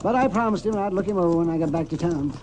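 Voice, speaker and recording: A man plays a crusty frontier doctor in a vintage radio western. His voice sits fairly high, folksy and fussy, muttering and exasperated. Horse hooves and faint noise sit behind him on the old recording. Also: racing, warm tone, lightly acted. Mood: wry, chuckling. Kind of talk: 1950s radio western acting.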